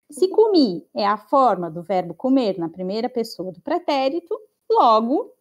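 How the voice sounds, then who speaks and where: Brazilian teacher giving an online lesson. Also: female voice, high voice, darkish tone. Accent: Brazilian Portuguese. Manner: explains patiently, checks in with students often. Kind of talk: online class lecture. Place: online class, remote.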